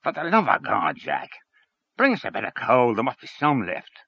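A scornful, blustering gossip grumbles in a lively up-and-down voice, as a male reader puts him on. The sound is dry and close. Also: mid-range voice, moderate pace, vocal fry, breathy edge, dry recording, lo-fi sound, voice pushed up, exaggerated voice. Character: blustering grumbling Dublin man, middle-aged.

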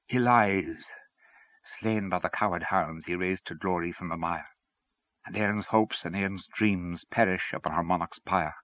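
A man voicing a solemn man who recites his own mourning poem in slow declamation, in a story reading. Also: low voice, a bit bright, dry recording. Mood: mournful, reverent. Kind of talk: poetry recitation.

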